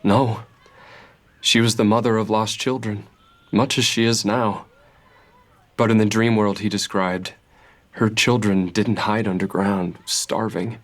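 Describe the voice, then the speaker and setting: A man's voice: lowish voice, steady tone, a little slow, pauses often, natural voice. A man playing a brave, guarded young thief hero, speaking earnestly and bluntly at a table read. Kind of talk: table read of a fairy-tale script.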